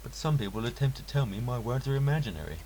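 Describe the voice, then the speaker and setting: A man's voice: mid-range voice, expressive, fast, a little mellow. A man reading a scripted first-person story aloud in an earnest, theatrical way. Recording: hissy, clearly noisy home recording.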